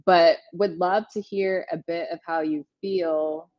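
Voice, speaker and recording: A woman moderating a talk, asking long, winding questions in a relaxed conversational way. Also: on the low side, takes it slowly, no echo, muffled recording. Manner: long rambling questions with light laughs.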